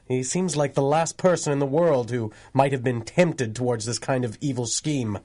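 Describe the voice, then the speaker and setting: A man's voice: mid-range voice, moderate pace, darkish tone, lightly acted. A man playing a genial, urbane judge with hearty old-chap manners in a 1930s-style radio drama. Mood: reflective.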